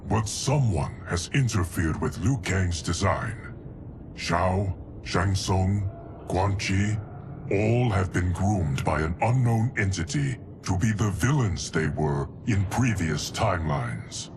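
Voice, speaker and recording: An actor playing a dignified, stoic ancient guardian in a video game, speaking gravely and formally. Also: male voice, sits low, expressive, takes it slowly, background noise, heavily acted. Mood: grave, matter-of-fact. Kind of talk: dramatic video game cutscene acting.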